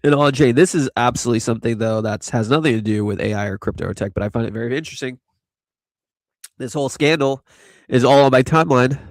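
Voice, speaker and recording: A man's voice: medium pitch, fast talker, dry recording. A man hosting a casual live crypto chat, rambling and laid-back with lots of fillers, stuffy from allergies. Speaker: host.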